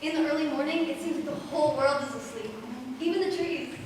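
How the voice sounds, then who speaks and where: A woman's voice: sits high, fast talker, a little mellow, lightly acted. A young female student actor playing a sweet, candid girl who blames herself, in a school stage play recorded in an echoey hall. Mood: friendly. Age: teens or young adult. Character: sweet, candid young woman, self-blaming beneath cheerfulness. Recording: echoey noisy hall from far off.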